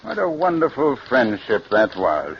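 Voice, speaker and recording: A man's voice: mid-range voice, huge pitch swings, measured pace, gravelly, heavily acted. An actor playing a businesslike, concerned man who runs a radio show, in 1940s radio drama style. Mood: pitying. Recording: muffled, noisy vintage radio recording.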